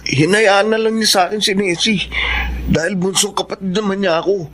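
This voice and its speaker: A man's voice: sits high, steady tone, brisk, fairly bright tone. A man playing a petulant, lovestruck youngest son with a whiny, pleading manner in a Filipino radio drama. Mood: sullen, aggrieved. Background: background music.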